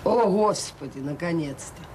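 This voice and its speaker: An actress playing a caring, wry, middle-aged mother in an audio drama, talking in a colloquial, gently teasing, frank way. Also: on the low side, animated, a little slow. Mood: teasing, fond. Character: caring, wry, tired mother of a grown son. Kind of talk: naturalistic radio drama dialogue. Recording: slightly noisy 1980s audio drama recording.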